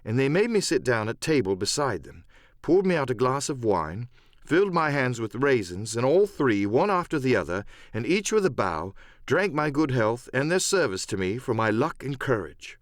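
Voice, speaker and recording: A man's voice: mid-range voice, very animated, normal speed, no echo. A man narrating an adventure story as an audiobook, in a dramatic, scripted storytelling style.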